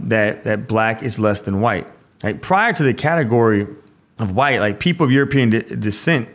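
A priest hosting a show and explaining a scripture passage in a conversational way. He often restarts his sentences and adds "like" asides. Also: mid-range voice.

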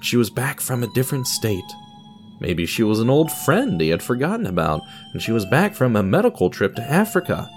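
A man's voice: on the low side, a little mellow. A man narrating a scripted audio drama in a theatrical, storybook style, with a wry touch.

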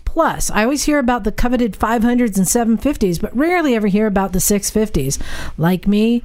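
A woman's voice: a little high, animated, a little fast, a little mellow. A woman co-hosting a motorcycle podcast, chatting casually and reading listener emails aloud with a playful, laughing manner. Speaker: podcast co-host.

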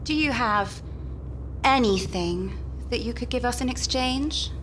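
A woman's voice: a little high, measured pace. A woman playing a blunt, sceptical female crew member in a live sci-fi comedy audio drama. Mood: curious. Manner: blunt, questioning, animated. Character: sceptical, blunt spaceship crewwoman. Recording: live stage recording with faint noise.

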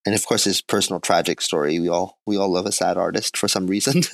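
A man's voice: low-pitched, lively intonation, brisk, creaky, dry recording. A man being interviewed about his art, who grew up in Japan, talking thoughtfully and modestly with small laughs. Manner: soft-spoken, thoughtful, self-deprecating with small laughs. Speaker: artist guest. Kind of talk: casual interview conversation.

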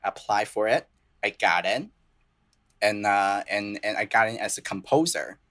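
A young man from southern China whose first languages are Cantonese and Mandarin, speaking English with a Chinese accent as a playwright guest in a casual podcast interview, earnest and halting with many restarts. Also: on the low side, a bit bright.

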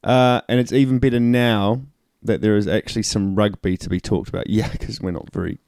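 Dry teasing New Zealand-accented man, low voice. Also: fairly even intonation, fairly quick, vocal fry, darkish tone, dry recording. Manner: dry, teasing, short replies. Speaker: podcast co-host. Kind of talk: casual rugby podcast chat.

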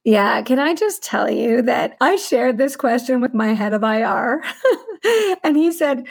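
A woman's voice: a little high, animated, unhurried, creaky, breathy edge. A woman speaking as an interview guest, a finance executive who explains her work in articulate detail with business jargon. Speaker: chief financial officer, podcast guest.

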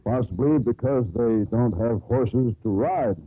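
Man acting bold sardonic sea captain, husky voice, teasing theatrical delivery, 1950s radio drama, narrow-band old broadcast. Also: mid-range voice, unhurried, lightly acted. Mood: teasing, storytelling.

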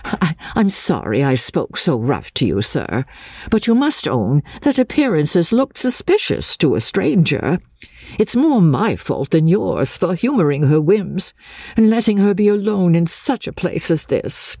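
A woman's voice: on the low side, sing-song, unhurried, dark tone, breathy edge, no echo, clean studio sound, pitched above the performer's own voice, exaggerated voice. Woman playing a forthright, protective, motherly countrywoman. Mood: apologetic, motherly. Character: fearless, protective older countrywoman, indignant yet motherly.

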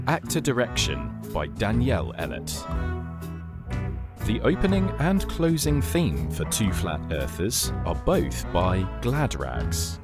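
A man doing scripted, dryly comic narration for an audio fiction show, with a deadpan manner. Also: lowish voice. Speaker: narrator and credits reader.

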